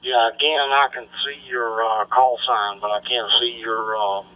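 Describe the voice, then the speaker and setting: A man's voice: mid-range voice, steady tone, measured pace, pushed and bright, a little hiss or hum, low-quality recording. A man chatting casually over a two-way radio transmission as an amateur operator.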